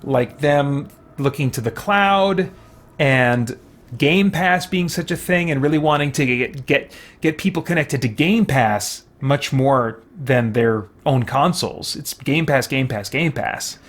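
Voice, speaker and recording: A man live-streaming a game, talking casually and haltingly as he thinks aloud and reads out viewer comments. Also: medium pitch.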